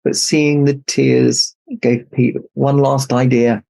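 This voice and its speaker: A man narrating a children's story aloud as an expressive storybook reader. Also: medium pitch, takes it slowly, vocal fry, poor audio. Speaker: storybook reader in Santa persona.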